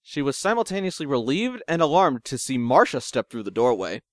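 A man narrating a scripted story in a measured, literary way. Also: medium pitch, big pitch swings, noisy background. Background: music.

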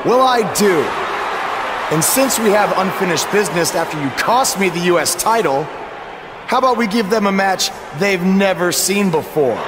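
A man's voice: sits high, animated, moderate pace. A man playing a brash, confident up-and-coming wrestler in a video game, delivering a promo in a noisy arena. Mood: defiant. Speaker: professional wrestler. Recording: loud arena background noise.

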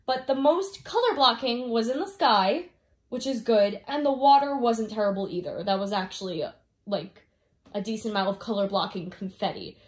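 A young woman with a fairly high voice talks at home about her craft project, sounding a little stuffy from a cold. She rambles loosely, giggles often and fills her pauses with "um" and "like", filming from a handheld phone above her work. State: slightly congested from a cold. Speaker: diamond painting vlogger. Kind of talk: casual review chat, reading off notes. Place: indoors at home, filming down at a canvas.

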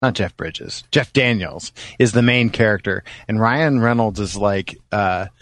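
A man's voice: lowish voice, fairly bright tone, no room sound. A man podcast co-host chatting casually about films. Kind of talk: casual chat about movies.

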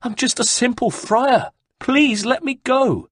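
A frightened young friar begging for mercy, his voice pitched high and cracking with sobs, as a male narrator puts him on. The voice is husky and close, in a dry, dead room. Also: slow, no room sound, full character voice. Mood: pleading. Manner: high, shaky, sobbing pleas. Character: terrified pleading young male monk.

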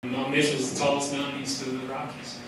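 A man's voice: mid-range voice, completely flat delivery, fast. A man in his thirties giving a conference presentation, casual and enthusiastic, with many fillers and restarts. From Colorado. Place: large hall with an audience. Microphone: podium mic, filmed from far back. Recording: echoey hall from far off, noisy.

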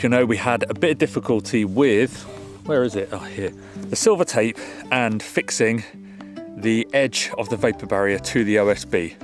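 A man in his thirties hosting a renovation vlog, explaining things casually to camera outdoors. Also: lowish voice. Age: 30s. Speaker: vlog host renovating a house. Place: outdoors at a rural building site.

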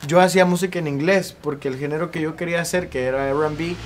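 A young man in his twenties with a Salvadoran accent, a singer telling his story at length in a relaxed interview on an outdoor patio. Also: a little high, quick pace, a little hiss or hum. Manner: earnest, talks at length with ease. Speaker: singer and guest. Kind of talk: casual interview.

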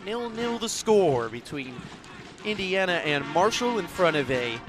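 A man in his twenties doing sports commentary and reporting in crisp, polished short phrases. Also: sits high, very animated, a little slow, over music. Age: 20s. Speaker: play-by-play sports broadcaster and reporter.

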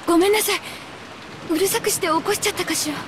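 A woman's voice: extremely high pitch, racing, background noise. An actress playing a strained young mother in a visual novel, polite but stern, with tense restraint. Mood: apologetic. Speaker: voice actor. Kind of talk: Japanese visual novel acting.

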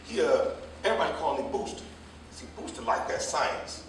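A man's voice: on the high side, very animated, fairly quick. A man playing a gossipy, combative older jitney driver in a stage play reading, telling stories in a folksy, rambling, colloquial way. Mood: storytelling. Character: gossipy, combative old male jitney driver, scolding the young. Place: theater or hall staged reading, far mic. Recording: echoey hall, distant mic, faint noise.

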